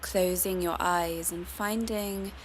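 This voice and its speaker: Slow-paced woman guiding meditation. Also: lowish voice, a little hiss or hum. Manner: calm, soothing, unhurried instruction. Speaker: yoga meditation teacher. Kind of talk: guided meditation.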